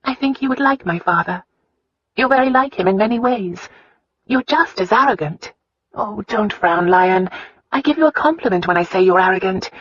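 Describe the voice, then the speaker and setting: A woman voicing a spirited, candid young wife with an earnest, expressive way of talking in a romance audiobook. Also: mid-range voice, normal speed, no echo, muffled recording, voice pushed up.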